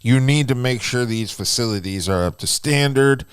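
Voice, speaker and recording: A man co-hosting a podcast, talking things through steadily with lots of fillers, a naturally loud and booming voice close on a studio mic. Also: moderate pace, smooth, no echo. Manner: steady explanatory talk with frequent fillers. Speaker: podcast co-host. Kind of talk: casual podcast discussion. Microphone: close radio-style studio mic.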